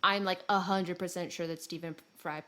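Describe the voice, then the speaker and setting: A woman's voice: lowish voice, fairly even intonation, normal speed, pushed and bright. A woman co-hosting a podcast, talking casually in an informal back-and-forth. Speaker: podcast co-host. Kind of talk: casual chat.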